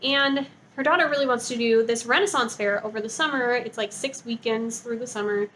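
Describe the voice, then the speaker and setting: A woman with a fairly high voice talks casually, as a book vlogger would to her viewers. Her delivery is informal and gushing, sprinkled with "like". Some faint noise sits behind her voice. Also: brisk, a bit bright, rough recording. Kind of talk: casual chatty book review to camera.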